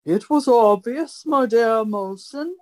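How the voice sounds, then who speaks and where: A woman playing a pompous great detective in an over-the-top radio mystery parody, showing off deductions in a deliberate, grand manner. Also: a little high, darkish tone. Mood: smug, pompous.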